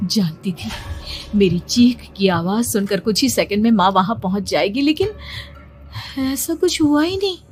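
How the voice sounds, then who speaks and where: A woman narrating a horror story in the first person, with a suspenseful, drawn-out and expressive delivery. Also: medium pitch. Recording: music behind the voice. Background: background music.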